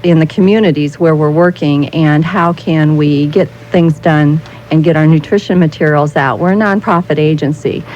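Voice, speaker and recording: An American woman speaking as a guest spokesperson in a radio interview, explaining things in a halting way, often repeating and rephrasing her phrases. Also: deep voice, fairly even intonation, darkish tone. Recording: muffled 1990s radio recording with noise.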